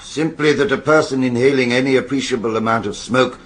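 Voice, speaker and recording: An actor playing an authoritative British forensic pathologist, speaking in a formal, clinical, assured way in a radio courtroom drama. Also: male voice, mid-range voice, a little fast, fairly bright tone, close to an everyday voice.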